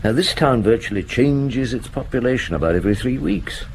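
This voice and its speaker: An actor playing a seasoned, middle-aged police chief in a radio drama, musing unhurriedly and asking terse, wry questions. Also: male voice, mid-range voice, animated, brisk. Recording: clear background noise.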